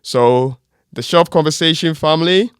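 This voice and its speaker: A man's voice: a little high, moderate pace, clear, resonant tone, no room sound, clean studio sound. A man hosting a podcast interview, enthusiastic and affirming, praising his guest and asking friendly questions. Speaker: podcast host.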